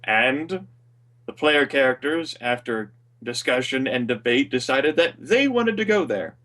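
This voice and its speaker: Booming game master, mic set back. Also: male voice, expressive, measured pace, a bit bright, distant mic. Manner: rambling with frequent uh fillers. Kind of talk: recapping a tabletop game over voice chat.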